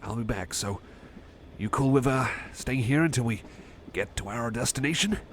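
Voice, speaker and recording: A man's voice: medium pitch, normal speed, a little hiss or hum, natural voice. A man playing a shy, anxious, apologetic cyborg friend in an ASMR roleplay, speaking in a hesitant, nervous stammer. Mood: awkward, bashful. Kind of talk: ASMR roleplay, personal attention.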